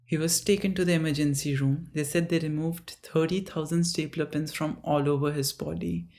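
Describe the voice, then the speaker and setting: An author reading their own story aloud in a slow, halting way, often stopping for chatty asides. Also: mid-range voice, steady tone, vocal fry, darkish tone.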